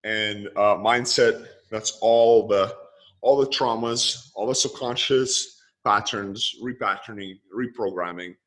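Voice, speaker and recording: A man from Lithuania, a coach talking as a podcast guest, quickly listing ideas one after another. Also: fairly low pitch, fairly bright tone. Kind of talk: casual podcast interview.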